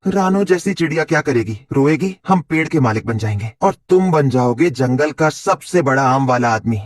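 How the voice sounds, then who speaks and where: A man voicing a scheming cartoon crow, a sly and persuasive smooth-talking villain. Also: mid-range voice, expressive, normal speed, dry recording, studio quality, close to an everyday voice. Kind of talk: cartoon voice acting.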